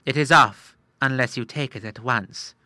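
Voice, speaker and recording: Man voicing a sly venomous agent, impatient, pushy, bright dry read. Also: medium pitch, normal speed, dry recording. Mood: pressing.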